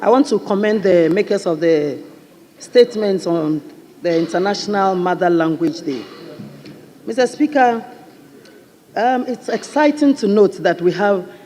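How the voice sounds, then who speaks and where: A Ghanaian man with a high voice addresses parliament in a formal, measured way, pausing on fillers now and then. Long reverb from the chamber hangs on his words. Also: a little mellow. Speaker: member of parliament. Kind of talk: parliamentary floor speech.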